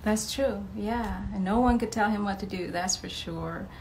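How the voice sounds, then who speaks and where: A woman's voice: medium pitch, moderate pace, gravelly, darkish tone, a little hiss or hum. An American woman chatting casually as a podcast guest, telling anecdotes and acting out what people said. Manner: chatty, often laughing, acts out quoted dialogue. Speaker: podcast guest, memoir author. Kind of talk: casual anecdotal storytelling in an interview. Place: wood-panelled room in a house, indoors. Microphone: studio condenser mic up close.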